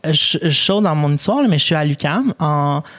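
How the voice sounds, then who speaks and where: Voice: medium pitch, lively intonation, fairly quick, a bit bright. A 24-year-old podcast co-host from Quebec with a Québécois accent, Spanish as first language, chatting casually and swearing in slang with English thrown in. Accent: Québécois French. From Quebec, Canada. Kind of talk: casual chat.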